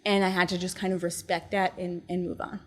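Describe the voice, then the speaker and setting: A young adult woman, a neuroscientist and startup founder, talking calmly and reflectively as an interview guest. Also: on the low side, brisk. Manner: calm, soothing, reflective. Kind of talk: casual interview conversation.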